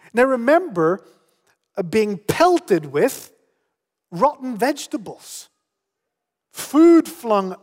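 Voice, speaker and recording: A man's voice: high-pitched, darkish tone. A middle-aged man preaching a sermon in earnest, often repeating words as he starts a phrase. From Spain. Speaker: pastor. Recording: long hall reverb.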